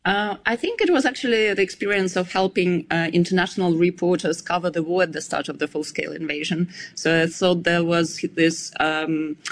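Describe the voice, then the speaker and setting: A woman's voice: fairly low pitch, brisk, few pauses. A woman from Ukraine with a Ukrainian accent, giving considered answers as an interview guest. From Zaporizhia, Ukraine. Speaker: interview guest, founder of a research organization.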